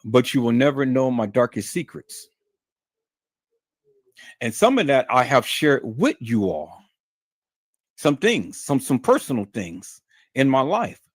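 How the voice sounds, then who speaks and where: A man's voice: medium pitch, sing-song, a little slow, pauses often, dry recording. Man on a live stream going on at his viewers, preachy and emphatic, keeps repeating himself, dry close sound. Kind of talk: casual monologue to viewers.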